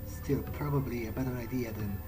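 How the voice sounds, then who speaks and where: Loud music plays behind a man acting as a wary vampire game hero. He thinks aloud in an earnest, hesitant way, muttering through each step of his playthrough. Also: medium pitch, steady tone, brisk, natural voice. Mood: wary, curious. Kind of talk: in-character game playthrough commentary.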